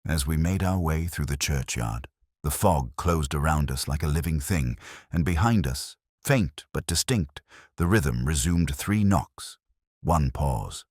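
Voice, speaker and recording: A man with an extremely low, husky voice narrates a mystery story. His delivery is measured and atmospheric, with lively swings in pitch. The sound is close and dry. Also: no echo. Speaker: audiobook narrator. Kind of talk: dramatic scripted mystery narration.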